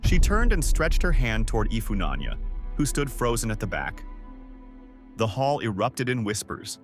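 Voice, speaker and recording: A man reading a scripted, dramatic story in a measured storytelling manner. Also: medium pitch, big pitch swings, soft background music. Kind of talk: scripted narration of a dramatic folktale-style story.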